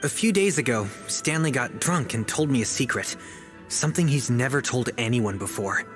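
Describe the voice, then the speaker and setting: An actor playing an eager, naive young novice adventurer in a video game, earnest and wide-eyed. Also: male voice, medium pitch, lively intonation, normal speed, a bit bright, natural voice. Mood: confiding. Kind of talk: English video game voice acting. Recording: background music under dialogue.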